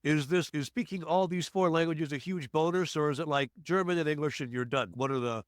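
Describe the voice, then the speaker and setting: An American man hosts a podcast interview over a remote call, chatty and loose, tossing in jokey asides. His voice sits a little high for a man, and the sound is dry and close. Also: a little fast, no room sound. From United States. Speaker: podcast host. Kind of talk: casual interview chat.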